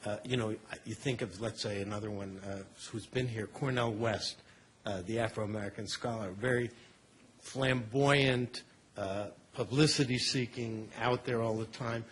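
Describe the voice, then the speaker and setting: Low-voiced man musing haltingly. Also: steady tone, slight background noise. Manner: hesitant, repeats words, many fillers. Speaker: audience member at a panel. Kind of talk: thoughtful spoken comment.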